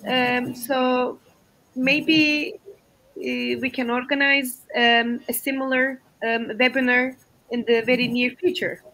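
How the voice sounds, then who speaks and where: A woman's voice: high voice, flat delivery, takes it slowly, sharp tone, low-quality recording. A woman with a Turkish accent, a university lecturer speaking hesitantly with fillers on a webinar. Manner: hesitant, many fillers and restarts. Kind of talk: webinar closing remarks.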